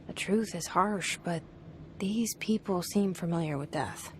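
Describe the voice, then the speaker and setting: An actress voicing a young woman's hushed, doubting inner thoughts in a video game. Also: on the low side, a little slow, slight background noise, lightly acted. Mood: inward. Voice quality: hushed, murmured.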